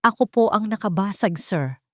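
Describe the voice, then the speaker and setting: Woman narrator as calm housemaid, Filipino accent, gentle, soft-spoken, respectful, storybook drama, dry sound. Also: mid-range voice, moderate pace, no echo, natural voice. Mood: calm apology.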